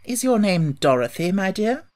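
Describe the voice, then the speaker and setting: A man's voice: high-pitched, big pitch swings, moderate pace, darkish tone, voice pushed up. A man voicing a kindly little old witch woman in an audiobook, solemn and reassuring in manner. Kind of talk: audiobook character voice.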